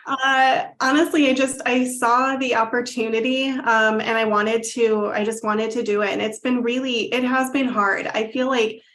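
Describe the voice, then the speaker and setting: A woman's voice: a little high, steady tone, normal speed, few pauses, a bit bright. A woman in her thirties, a company founder, answering interview questions earnestly with frequent "um" fillers. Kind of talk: casual interview.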